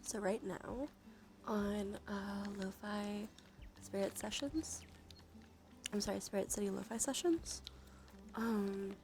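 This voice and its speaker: A woman hosting a casual ASMR livestream, chatting softly and narrating what she does, with a breathy voice that often slips into a whisper. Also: medium pitch, slow pace, pauses often. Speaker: livestream host. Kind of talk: casual ASMR stream chat. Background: background music.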